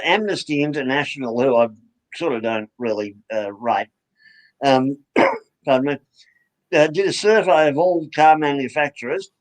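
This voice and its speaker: A man's voice: fairly high pitch, rough recording. A man, co-hosting a studio talk show with dry, profane remarks and rambling, hesitant asides. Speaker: co-host. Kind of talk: casual profane banter.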